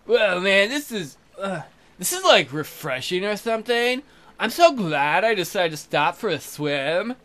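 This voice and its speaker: A man's voice: high voice, sing-song, a little slow, pushed and bright, exaggerated voice. A man voicing an annoyed, airheaded young fairy-tale maiden in a visual novel, with a hesitant valley-girl drawl full of filler words. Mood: airy, idle.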